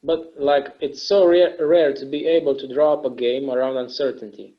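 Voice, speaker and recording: A man acting a weary, wistful game obsessive in a casual, halting conversational style at a table read. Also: mid-range voice, fairly even intonation, dull audio, lightly acted. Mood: wistful, relieved.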